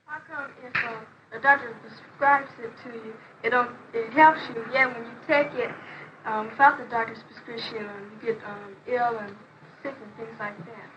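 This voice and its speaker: A woman's voice: a little high, steady tone, normal speed, bright, forceful tone, slight background noise, poor audio. A female pupil asking a hesitant question in a classroom, searching for words, on an old 1970s film soundtrack.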